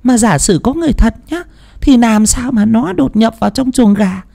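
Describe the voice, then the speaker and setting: A man voicing a frightened elderly countrywife, stammering and shrill in a storybook tale. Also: expressive, normal speed, creaky, mellow, exaggerated voice. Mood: indignant.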